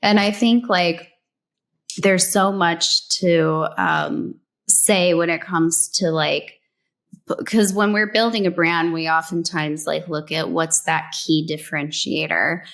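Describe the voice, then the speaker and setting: Brief: woman from New Mexico, interview guest, low voice, unhurried, relaxed talk full of "like". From New Mexico, USA. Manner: relaxed, conversational, many "like"s and "um"s. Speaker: guest, brand story strategist. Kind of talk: casual interview chat, giving advice.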